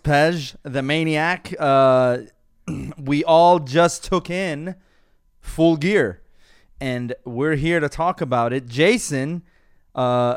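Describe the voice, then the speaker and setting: A man's voice: medium pitch, clear, resonant tone. A man hosting a podcast, chatting loosely and rambling with frequent laughs. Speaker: podcast host. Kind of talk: casual podcast chat.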